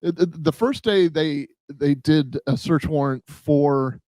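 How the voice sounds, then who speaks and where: A man's voice: on the high side, slow, darkish tone, no room sound. A man co-hosting a casual true-crime discussion, speaking in a blunt, plain-spoken and opinionated way. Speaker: podcast co-host with police background.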